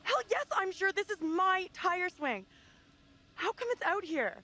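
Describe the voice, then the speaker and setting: A woman's voice: very high-pitched, lively intonation, measured pace, sharp tone, full character voice. An actress playing an anxious, blunt, insistent young modern woman in danger in a video game. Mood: upset insistence. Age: young adult. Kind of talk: naturalistic game cutscene acting.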